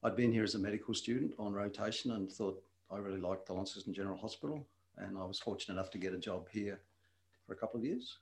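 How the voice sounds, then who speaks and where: Gravelly older man, unhurried and thoughtful. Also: fairly low pitch. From Wales. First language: Welsh. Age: 60s. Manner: unhurried, thoughtful, with frequent ums. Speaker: guest. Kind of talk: casual interview chat. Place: shared room with the host.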